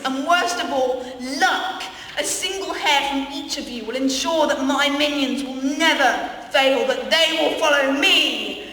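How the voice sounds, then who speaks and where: A woman's voice: high voice, sharp tone. A woman playing a scheming, theatrical evil twin villainess in an amateur radio play, declaiming grandly. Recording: echoey hall, distant mic, faint noise.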